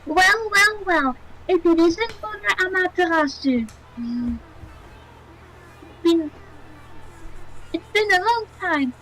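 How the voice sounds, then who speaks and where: A woman's voice: extremely high pitch, expressive, takes it slowly, long pauses, fairly bright tone, soft background music, full character voice, low-quality recording. A woman voicing a courtly, helpful male brush god as she dubs a game scene aloud.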